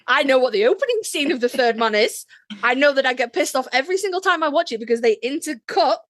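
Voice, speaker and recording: A woman co-hosting a podcast, chatting casually as a fan in an animated, emphatic, joking way with plenty of swearing. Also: high voice, quick pace, dry recording. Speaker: podcast co-host. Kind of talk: casual fan chat about a TV series.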